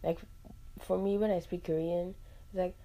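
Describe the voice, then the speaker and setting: A young woman from Nigeria, hosting a casual solo podcast, chatty and animated, with frequent laughs and fillers. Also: fairly low pitch, moderate pace. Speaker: podcast host.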